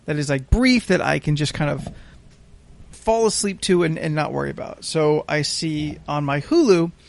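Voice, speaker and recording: Gravelly American man, rambling podcast chat. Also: on the high side, moderate pace, a little hiss or hum. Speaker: podcast host. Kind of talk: casual podcast chat, telling an anecdote.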